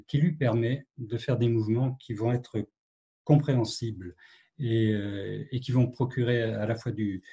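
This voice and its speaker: Male novelist, mellow breathy voice, thoughtful and halting, over a video call. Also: medium pitch. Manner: reflective, hesitant, many fillers and restarts. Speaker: novelist, guest. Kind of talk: online literary conversation.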